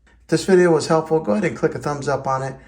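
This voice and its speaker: A man's voice: a little high, fast talker, a little mellow. A man presenting a casual hands-on tech review, explaining things step by step in a plain-spoken, conversational way. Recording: echoey, roomy indoor sound.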